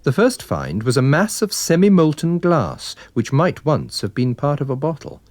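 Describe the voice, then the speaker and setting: A man's voice: fairly high pitch, sing-song, normal speed, darkish tone, dry recording. A man reading a detective story as scripted narration. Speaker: narrator, audiobook reader.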